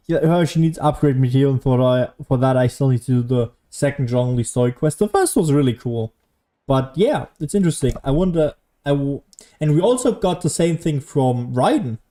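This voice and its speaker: A man's voice: medium pitch, warm tone. A young man giving casual gameplay commentary, rambling, sweary and self-deprecating. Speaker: game streamer. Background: faint game music.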